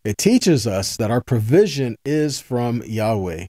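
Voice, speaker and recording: A man's voice: medium pitch, sing-song, measured pace, dark tone, dry recording, studio quality. A man giving an informal sermon as a Bible teacher, earnest and folksy, repeating phrases for emphasis and checking in with his listeners. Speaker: Bible teacher, host of a weekly teaching video.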